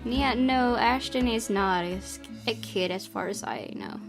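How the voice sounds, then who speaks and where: A woman reading visual novel text aloud as a game streamer, with expressive, chatty asides. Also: a little high, slow pace. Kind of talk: let's play, reading game text aloud with casual commentary. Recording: game music behind voice. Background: game music.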